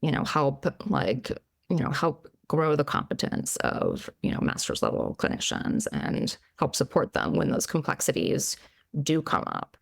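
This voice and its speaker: Chatty woman psychologist with gravelly fry. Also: low voice, sing-song, a little slow, dry recording, clean studio sound. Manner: chatty, frequent "like" and "you know" fillers. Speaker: psychologist, podcast guest. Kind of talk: casual interview conversation.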